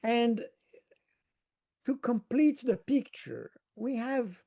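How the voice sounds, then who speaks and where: Slow-talking older man, English speaker. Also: extremely high pitch, creaky, warm tone, no echo. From Switzerland. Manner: halting, repeats words while searching for phrasing. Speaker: retired military intelligence analyst, interview guest. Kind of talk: spontaneous geopolitical commentary in English.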